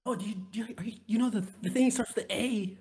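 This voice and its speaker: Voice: mid-range voice, quick pace, darkish tone, pitched above the performer's own voice, natural voice. Player acting a nosy, teasing crewmate. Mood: coy, teasing. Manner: coy, needling, playful. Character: nosy, teasing spaceship crewmate, persistently prying.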